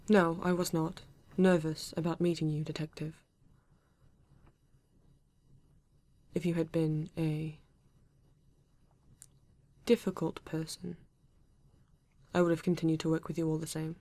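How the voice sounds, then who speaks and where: A woman voicing a polite, formal male android detective in an ASMR roleplay, with a British accent and stiff, measured phrasing. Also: low voice, flat delivery, warm tone. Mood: earnest, composed.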